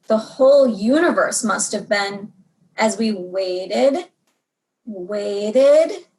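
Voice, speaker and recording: A woman reading a storybook aloud to young children in an expressive way, drawing out words for dramatic emphasis. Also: on the high side, low-quality recording.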